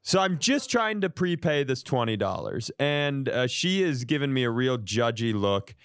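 A man in casual comedic storytelling as a podcast co-host, animated and self-deprecating. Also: medium pitch, moderate pace, smooth, no echo. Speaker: podcast co-host telling stories.

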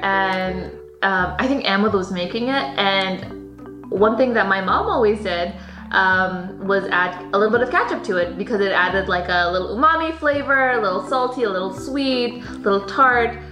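A woman's voice: medium pitch, moderate pace. A chatty woman recounting a story casually, with lots of ums and likes. Her voice sounds roomy, with faint music behind it. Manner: chatty, with fillers and laughs. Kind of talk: casual recollection.

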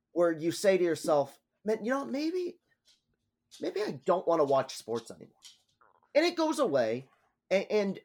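A man co-hosting a podcast, congested and a little nasal, speaking in a subdued, halting way. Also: fairly high pitch. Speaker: podcast co-host. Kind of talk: casual chat.

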